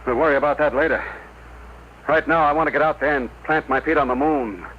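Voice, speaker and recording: An actor playing an eager, practical spaceship officer in 1950s radio drama style. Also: male voice, on the high side, a little fast, natural voice. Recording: muffled, very noisy vintage radio recording.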